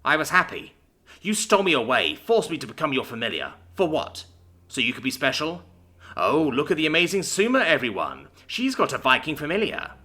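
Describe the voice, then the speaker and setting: Man voicing a bitter young spirit, accusing, shouting, raised pitch, lively swings, bright edge. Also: a little fast, heavily acted.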